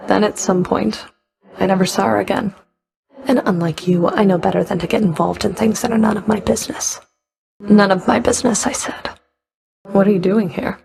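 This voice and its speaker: An actress playing a stern, sardonic underworld Fury overseer in a video game, curt and commanding, with a gravelly, creaky voice. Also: female voice, medium pitch, steady tone, moderate pace, pauses often, darkish tone, close to an everyday voice. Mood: cold, grudging. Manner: curt, dry, commanding, grudging.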